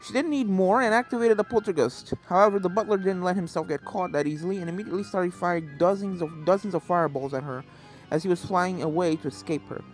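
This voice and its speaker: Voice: mid-range voice, fast talker, low-quality recording. A reader giving scripted narration of a fan fiction story. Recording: game music behind the voice.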